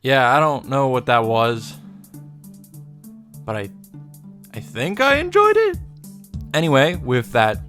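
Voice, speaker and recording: A man's voice: medium pitch, a bit bright. Casting: male game commentator, mid-range voice, casual and wry, music playing underneath. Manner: wry, playful asides. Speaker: visual novel reaction and summary host. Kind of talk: casual joking recap commentary.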